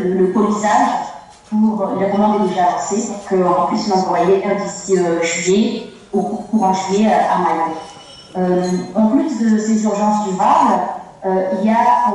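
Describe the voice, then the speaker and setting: Echoey, distant woman giving a halting report. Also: mid-range voice, dark tone. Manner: hesitant, halting delivery with very frequent filled pauses. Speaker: Rotary club representative giving a project report. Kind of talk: halting spoken progress report to an assembly. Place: remote phone call heard through a large echoey room. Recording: distant, very echoey phone call played in hall.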